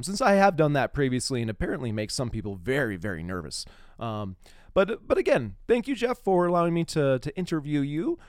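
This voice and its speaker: A man with a clear, smooth voice in a dry, close recording, hosting a casual interview. He talks in a wry, playful way and pokes fun at himself. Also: mid-range voice, no echo. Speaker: podcast host interviewer.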